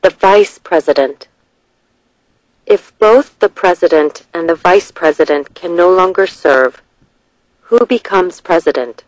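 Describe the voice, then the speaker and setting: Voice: mid-range voice, expressive, a little slow, no echo, rough recording. Dry, close reader of quiz questions. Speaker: test study narrator. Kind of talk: scripted reading of civics questions and answers.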